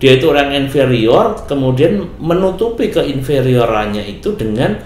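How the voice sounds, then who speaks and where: A man's voice: mid-range voice, animated, moderate pace, a little mellow. A man, a guest in a casual talk-show discussion, explaining things and often checking for agreement. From Bekasi, Indonesia. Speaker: guest speaker. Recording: echoey room with faint noise.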